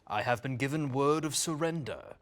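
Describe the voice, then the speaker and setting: A man's voice: mid-range voice, normal speed, close to an everyday voice. A man playing a proud ruler giving an imperious oration in a fantasy drama table read. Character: proud male ruler addressing subjects from an altar.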